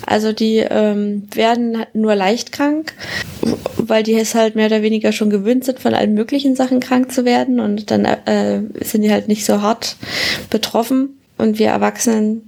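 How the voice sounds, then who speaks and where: A woman's voice: a little high, little pitch movement, moderate pace, gravelly, dark tone. A woman, a biologist co-hosting a podcast, talking in a rambling way with many fillers and dry humor. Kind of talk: casual science chat.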